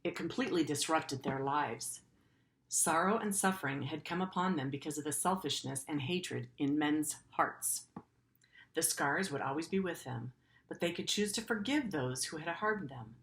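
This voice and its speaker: A woman's voice: low-pitched, normal speed, fairly bright tone, a little hiss or hum. A middle-aged woman teacher reading a story aloud to pupils in an earnest way, with simple, informal explanatory asides.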